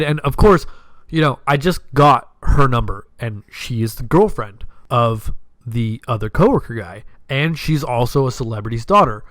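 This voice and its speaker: A man hosting a podcast, telling personal stories in a casual, slangy, animated way with plenty of swearing and acted-out dialogue. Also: mid-range voice, dry recording. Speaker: podcast host.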